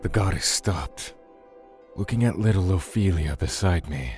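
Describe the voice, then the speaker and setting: An actor playing a grave, solitary god and protective father in an audio drama, speaking and narrating in a solemn, deliberate manner. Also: deep voice, darkish tone, faint music behind. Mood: brooding narration. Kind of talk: audio drama acting with first-person narration.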